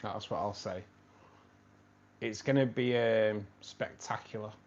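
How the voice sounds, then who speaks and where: Man, casual live stream host, rambling, repeats himself, low voice, dull audio. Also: fairly even intonation, takes it slowly. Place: home room with fish tanks.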